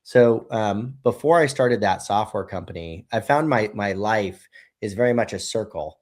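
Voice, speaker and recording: A man in his thirties speaks with a fairly low voice in an easygoing, meandering way. He keeps leaning on "you know" and "right?" as he tells his story to a podcast host. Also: animated, normal speed, darkish tone. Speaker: guest, brewery co-founder. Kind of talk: casual conversational storytelling.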